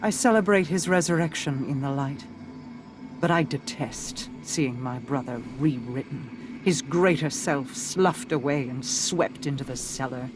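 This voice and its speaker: A woman playing a regal, brooding queen, speaking in a solemn, formal and measured way in a dramatic video game monologue. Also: very deep voice. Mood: earnest, grave. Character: regal, brooding, mysterious queen and grieving sister. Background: music.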